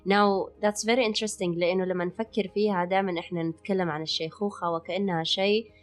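A woman's voice: on the low side, steady tone, fast talker, faint music behind. A woman with a Hijazi Saudi accent co-hosting a health podcast, explaining things conversationally and sprinkling in English words. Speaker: podcast co-host, holistic physician and wellness coach.

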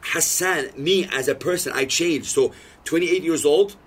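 A man's voice: on the high side, a little slow. A man in his thirties, a podcast guest, telling stories in casual chat and acting out the lines he quotes. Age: 30s. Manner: animated storytelling, acts out quoted lines.

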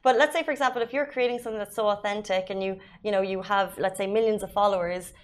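A woman's voice: mid-range voice, fairly even intonation, fast talker. A woman hosting an interview, asking questions and offering encouraging affirmations. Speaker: interviewer host. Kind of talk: interview questions, brief backchannels.

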